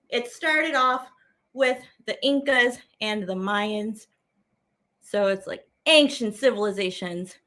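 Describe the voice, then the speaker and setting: A woman's voice: high-pitched, bright, forceful tone. A woman hosting a children's lesson as a teaching artist, speaking playfully and animatedly to viewers at home in simple, repeated phrases.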